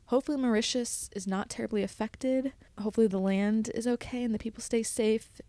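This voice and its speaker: A woman's voice: medium pitch, dark tone, no echo. A young woman hosting a casual solo podcast, telling stories in a chatty, explanatory way with frequent asides. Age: young adult. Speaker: podcast host, college student studying at sea.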